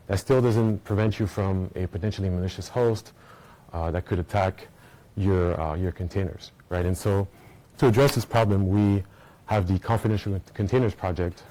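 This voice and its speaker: Low, mellow-voiced man giving a tech talk. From Belgium. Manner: casual, explaining, frequent "right?" and "uh" fillers. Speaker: conference speaker. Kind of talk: technical conference talk. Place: conference room with an audience. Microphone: small recording mic.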